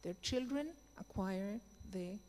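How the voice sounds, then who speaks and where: Woman panelist, unhurried, careful answers, long hall reverb. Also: mid-range voice, expressive, darkish tone. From Israel. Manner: measured, pausing often with fillers. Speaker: panel speaker.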